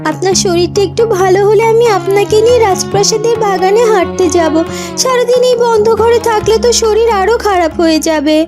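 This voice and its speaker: A woman's voice: extremely high pitch, fairly quick, over loud music, pitched above the performer's own voice. A woman voicing a devoted young royal daughter-in-law in a cartoon, coaxing and reassuring in an earnest way. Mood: caring, coaxing. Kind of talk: cartoon voice acting.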